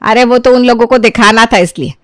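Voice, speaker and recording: A woman's voice: fairly high pitch, a little fast, no room sound, close to an everyday voice. A blunt older matriarch voiced by a woman storyteller. She speaks in a chiding, matter-of-fact way as the mother-in-law of a family story, in a dry recording. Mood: dismissive.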